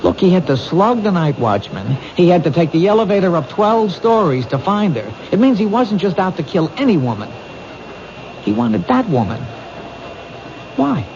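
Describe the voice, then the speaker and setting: A man's voice: high voice, normal speed, vocal fry, exaggerated voice. An actor playing an earnest young police detective who reasons his case aloud, in a 1970s radio drama. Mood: reasoning. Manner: earnest, questioning, animated. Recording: dull noisy old radio broadcast.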